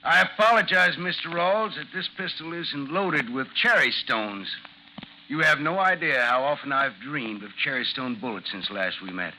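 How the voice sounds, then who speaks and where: A slightly noisy vintage radio drama recording carries a vengeful gentleman duellist. His voice is hard and piercingly bright, with animated pitch swings. He speaks formally and deliberately, with cool mockery. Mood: mocking. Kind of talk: 1950s radio drama acting.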